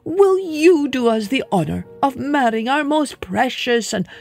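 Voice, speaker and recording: A man's voice: extremely high pitch, lively intonation, unhurried, vocal fry, faint music behind, pitched above the performer's own voice, exaggerated voice. A man voicing a small, proud father mole who pleads formally and eagerly. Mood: pleading.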